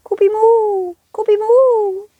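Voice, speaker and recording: A woman's voice: very high-pitched, steady tone, slow, dark tone, no room sound, dull audio, pitched above the performer's own voice. A woman voicing a small, timid, friendly ghost that babbles in short repeated cooing syllables, for a children's audio story. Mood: eager cooing.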